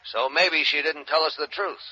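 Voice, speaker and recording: An actor playing a blunt, needling police sergeant with punchy, insistent delivery in an old radio drama. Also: male voice, a little high, steady tone, normal speed, sharp tone. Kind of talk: 1950s radio drama acting. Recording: dull old radio recording, faint noise.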